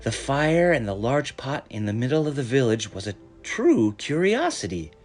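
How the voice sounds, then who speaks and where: A man reading a children's story aloud in a measured, expressive storytelling manner. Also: mid-range voice, faint music behind. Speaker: story reader.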